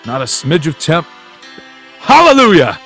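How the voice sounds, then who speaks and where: Guy doing an emotional family man in an old radio play, fervent, stammery, highish voice. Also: huge pitch swings, measured pace, gravelly, a bit bright, faint music behind, full character voice. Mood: overjoyed. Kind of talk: old-time radio drama acting.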